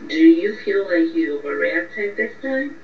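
A woman's voice: fairly low pitch, expressive, measured pace, creaky. A woman playing a blunt, sarcastic young best friend in a radio drama, teasing and exasperated. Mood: sceptical. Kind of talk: radio drama phone conversation. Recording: muffled, echoey, distant, faint noise.

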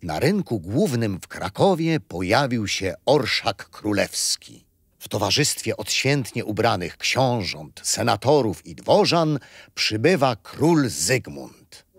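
An actor playing a kindly, patient grandfather telling stories in a children's radio play, unhurried and expressive. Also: fairly low pitch, slightly rough, no room sound, studio quality, heavily acted. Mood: storytelling. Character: kindly grandfather storyteller, patient, teasing, wise.

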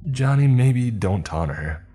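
A man's voice: sits low, lively intonation, takes it slowly, slightly rough, soft background music, full character voice. A man voicing a cautious young male coworker who hesitates and trails off. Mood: uneasy.

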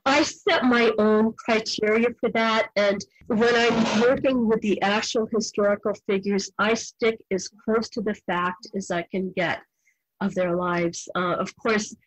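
Measured older woman, dry close sound. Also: medium pitch, fairly even intonation, dry recording, poor audio. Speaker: guest novelist. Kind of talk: reflective interview talk.

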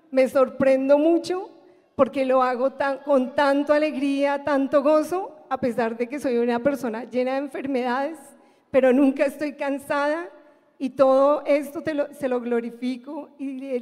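A woman's voice: high-pitched, darkish tone. A woman giving a narrative religious testimony as a church member. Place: large church hall. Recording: echoing large hall.

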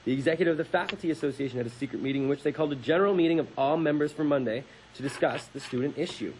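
A man's voice: on the high side, racing, darkish tone, slight background noise, rough recording. A young adult man from Canada speaking as a radio show host in a studio. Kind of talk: casual radio talk and dramatic reading.

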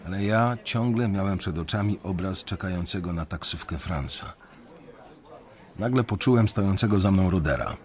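An actor playing an uneasy young banker who narrates a radio drama in a measured, reflective way. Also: male voice, low voice, a little mellow, lightly acted. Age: young adult.

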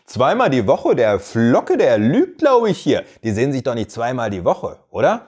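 A man in his thirties commenting on reality TV clips from a room lit in purple. His pitch swings widely as he teases and mocks what he sees, with an animated, playful delivery. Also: normal speed, husky. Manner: animated, mocking, jumps in pitch for jokes. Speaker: reaction video host. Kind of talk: casual reaction commentary.

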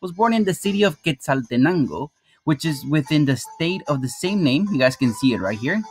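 A man's voice: on the high side, expressive. A Guatemala-born man who teaches math, giving a casual slide presentation to his students and explaining things in a friendly, plain way. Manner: friendly, addresses students as "you guys", explains slowly. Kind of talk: informal classroom presentation over slides. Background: marimba music playing softly.

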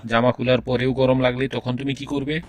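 A man's voice: medium pitch, level tone, quick pace, no echo, natural voice. A man voicing a strict, impatient education minister who quizzes pupils in a comedy cartoon. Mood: questioning. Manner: bossy, interrogating.

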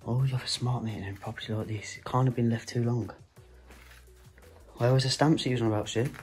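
A man filming himself with a phone as he explores an abandoned house indoors, talking low and hurried to his viewers. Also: fairly even intonation, a little mellow. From England. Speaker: urban explorer filming a walkthrough. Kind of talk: casual handheld vlog commentary. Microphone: handheld phone. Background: background music.

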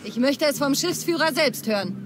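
A man's voice: extremely high pitch, expressive, normal speed. A man playing a bold, mocking mercenary in a video game, assertive and sardonic in manner. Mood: probing, mocking. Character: bold, mocking adult mercenary demanding answers. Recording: noisy outdoor game ambience behind voice.

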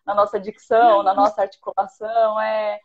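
A woman's voice: medium pitch, level tone, normal speed, phone-quality sound. A woman in her thirties from the São Paulo countryside with a caipira accent, chatting as a guest on a video call, hesitant with many restarts. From interior of São Paulo state, Brazil. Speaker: guest, voice-acting student. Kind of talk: casual video-call chat. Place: small room at home. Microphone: laptop webcam on a video call.

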